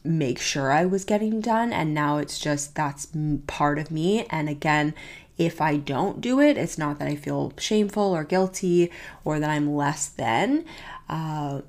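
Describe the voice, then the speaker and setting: A woman's voice: low voice, animated, unhurried, darkish tone. A young woman hosting her own podcast in a casual, upbeat solo chat, sounding nasal and congested from a cold. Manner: chatty, upbeat, says "you guys" often. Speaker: podcast host.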